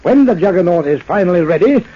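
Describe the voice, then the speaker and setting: A man acting a proud, principled older inventor in 1940s radio drama, husky and firm. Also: high-pitched, animated, fast talker. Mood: firm, indignant. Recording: dull old radio broadcast.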